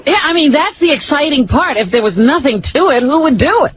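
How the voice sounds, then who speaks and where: A woman's voice: sits high, lively intonation, fairly quick. A woman co-hosting a radio show in casual, chatty banter. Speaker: radio co-host. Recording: muffled radio recording.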